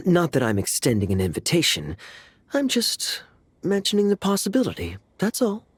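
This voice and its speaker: Lively, teasing young knight. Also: male voice, fairly high pitch, a little slow, slightly rough, no echo, full character voice. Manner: playful, lilting. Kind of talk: video game voice acting.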